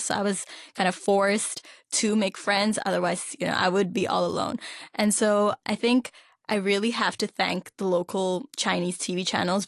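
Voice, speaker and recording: A woman's voice: medium pitch, no room sound, studio quality. A woman in her twenties from Hong Kong, a trainee solicitor, telling her story reflectively as an interview guest. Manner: reflective, with hedges like "I think" and "you know". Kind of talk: conversational storytelling.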